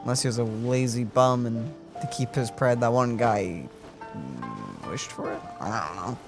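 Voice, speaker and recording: A woman's voice: rumbling low, animated, measured pace, gravelly, over music. A woman reacting casually to a video game as a streamer.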